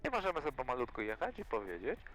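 A man working as a flight instructor, coaching calmly in an encouraging, repetitive way inside a small aircraft. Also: mid-range voice, racing, rough recording. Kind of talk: hands-on coaching during flight. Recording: music behind, aircraft cabin audio.

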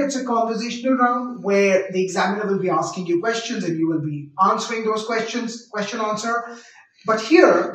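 A man's voice: high-pitched, slight background noise. A man in his thirties with an Indian English accent, coaching students for a speaking test in a conversational style with rhetorical questions. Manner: conversational, animated hand gestures, rhetorical questions. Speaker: IELTS tutor. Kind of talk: direct-to-camera teaching. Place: small indoor room. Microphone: lapel mic. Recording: very echoey room, mic at a distance.

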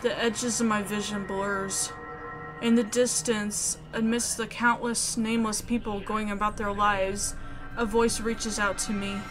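A woman reading scripted story narration aloud in an expressive way. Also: medium pitch, measured pace, poor audio. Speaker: video game player reading narration aloud. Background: music.

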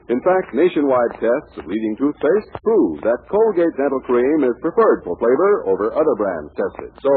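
A man's voice: mid-range voice, slight background noise. An American man announcing and reading scripted ads in a 1940s radio style. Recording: tinny 1940s radio recording.

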